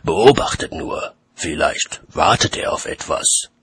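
A man playing a grumpy, sardonic, weary city watchman in an audiobook story, gruff and dry in manner. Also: low-pitched, sing-song, slow pace, fairly bright tone, dry recording, heavily acted. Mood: wry.

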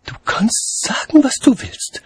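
A man's voice: a little slow, natural voice. A man voicing a spirited, self-assured upper-class lady in an audiobook story, with emphatic well-bred speech. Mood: knowing, teasing.

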